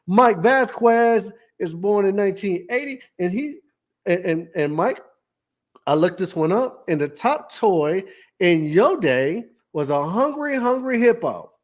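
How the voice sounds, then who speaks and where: This is a man in his fifties with a drawl who co-hosts a podcast. He talks in an easygoing, joking way and stretches his stories out. His voice sits a touch high for a man. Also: animated. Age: 50s. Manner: easygoing, joking, drawn-out storytelling. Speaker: podcast co-host. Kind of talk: casual chat.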